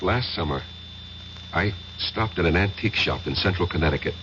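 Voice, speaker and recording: An actor playing an agitated professor in a 1970s radio drama, speaking insistently and haltingly, often repeating himself. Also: male voice, low-pitched, lively intonation. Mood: feverish, haunted. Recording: muffled, hissy radio drama recording.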